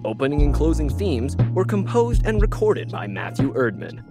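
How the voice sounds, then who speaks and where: Man, podcast announcer, animated intonation, slightly high voice, scripted credits, prominent music bed. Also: normal speed. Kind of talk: scripted sponsor reads and credits.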